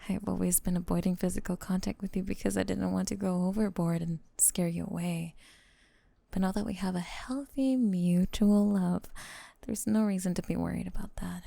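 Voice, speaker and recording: Woman doing a yandere snake girl asmr, sweet but menacing, soft mellow voice, lots of whispering, super close and dry. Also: lowish voice, steady tone, normal speed, gravelly, no echo. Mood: doting, controlling. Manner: intimate, coaxing, often whispering. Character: possessive, doting yandere lamia girlfriend, sweetly menacing. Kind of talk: ASMR roleplay.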